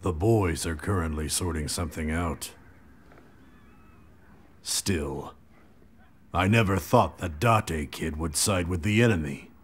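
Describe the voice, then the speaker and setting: A man's voice: sits low, slow pace, long pauses, heavily acted. A man playing a wry, world-weary mercenary warrior in an English game dub, sardonic and offhand. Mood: wry, musing. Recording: clear background ambience noise.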